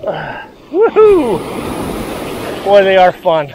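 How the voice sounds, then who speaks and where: A middle-aged man with a fairly high voice chats to his chest-mounted camera as he fishes the surf. He talks loosely and breaks into excited whoops when a fish takes. Loud crashing waves and wind sit under everything he says. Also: takes it slowly. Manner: excited bursts of exclamation while fighting fish. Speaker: fishing vlogger. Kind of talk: casual self-narrated fishing vlog. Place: outdoors on an ocean beach in the surf. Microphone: action camera on a chest harness. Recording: noisy outdoor beach audio with surf.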